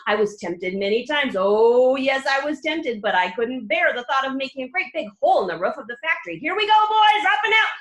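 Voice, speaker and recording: A woman voicing an excitable, eccentric male candy maker who shouts with delight. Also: high-pitched, brisk, few pauses, roomy sound. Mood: gleeful.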